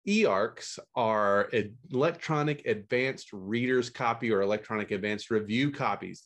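Man hosting a book podcast. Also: medium pitch. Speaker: stand-in podcast host and book editor. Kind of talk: scripted podcast reading of book news and blurbs.

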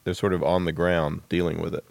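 Male interview host, low voice, dry recording, casual and halting delivery. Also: little pitch movement, vocal fry, no room sound.

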